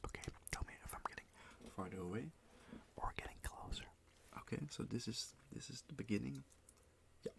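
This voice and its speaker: Whispering man, gravelly and low. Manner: whispering and soft-spoken, gentle and reassuring; repeats words and phrases slowly. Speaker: ASMR roleplay performer. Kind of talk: ASMR roleplay, music teacher.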